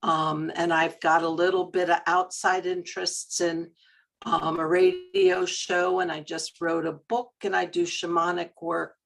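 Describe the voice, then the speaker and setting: Slow, creaky low-voiced woman. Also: little pitch movement, a bit bright. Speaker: guest author and physician. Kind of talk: casual chat.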